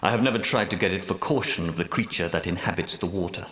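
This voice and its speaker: An actor playing a solemn, commanding medieval knight and prophetic leader, speaking in grave, formal, archaic oratory for a video game. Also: male voice, low-pitched, fast talker, fairly bright tone. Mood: storytelling, knowing. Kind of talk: theatrical video game character dialogue. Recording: roomy echo, slightly distant from mic.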